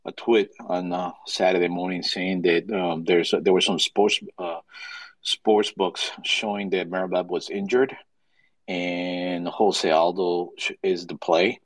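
Low voiced guy calling in, dry close sound, keeps repeating himself. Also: flat delivery, dry recording, low-quality recording. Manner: halting, repeats words. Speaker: caller. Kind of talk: call-in question.

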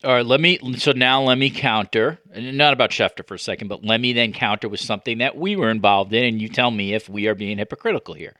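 A man hosting a podcast interview, talking conversationally and probing as he works through halting, mid-sentence restarts. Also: mid-range voice, sharp tone, no echo, clean studio sound. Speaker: podcast host, sports journalist.

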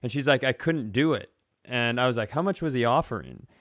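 Man, podcast co-host, mid-range pitch, casual comedic chat, animated storytelling, close dry recording. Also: fairly quick, no echo.

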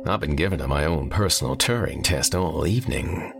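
A man's voice: a bass voice, animated, a little fast, vocal fry, music in the background, full character voice. A man voicing a sardonic, brainy male tech colleague in an audiobook story, wry, emphatic and conversational. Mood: wry, wistful.

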